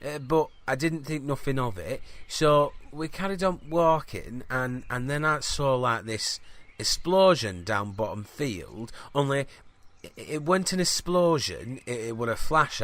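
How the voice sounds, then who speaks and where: Casting: male actor for teenage farm boy, earnest, colloquial, halting rambler in comic audio fiction. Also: medium pitch, fairly bright tone, lightly acted. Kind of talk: scripted comedy audio fiction.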